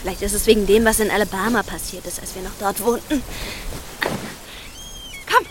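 A woman's voice: medium pitch, steady tone, normal speed, background noise, lightly acted. An actress in a radio drama playing a teenage girl who is both playful and wounded. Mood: wistful, then playful.

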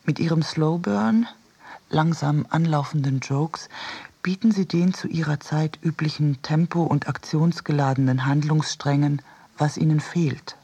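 Husky, gravelly man reading a literary essay. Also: a little high, measured pace, warm tone. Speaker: reader in a radio production.

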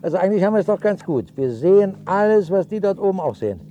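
A man's voice: high-pitched, sing-song, fast, mellow, muffled recording, soft background music. A man acting in a radio drama as an idle, sneering shut-in who muses and repeats his words. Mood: smug, contented. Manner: musing, hesitant, repeating words. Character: idle, grumbling male shut-in, sneering at the world above.

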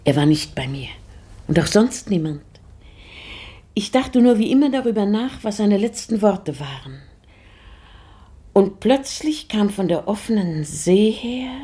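An actress playing a dreamy, pensive lady in a radio play, musing in a hushed, deliberate way. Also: lowish voice, slightly rough. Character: dreamy, pensive lady confiding in her maid. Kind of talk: German radio play acting.

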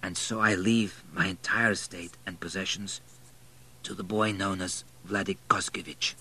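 A man voicing a dignified, resigned old blind baron in an audiobook, slow and laboured, weak and breathy, sinking toward whispers. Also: sits low, fairly even intonation, bright, forceful tone, exaggerated voice, rough recording. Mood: solemn, failing. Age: older.

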